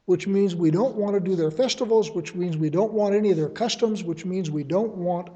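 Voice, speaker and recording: A man teaching a verse-by-verse Bible study, reasoning aloud in a measured way and offering his readings as suggestions. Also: sits high, dark tone, husky, big-room sound, lo-fi sound.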